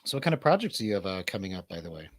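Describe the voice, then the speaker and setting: A man's voice: deep voice, sing-song, racing, no echo, studio quality. A man hosting a casual livestream chat, laid-back, slangy and rambling. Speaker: host of a livestream show.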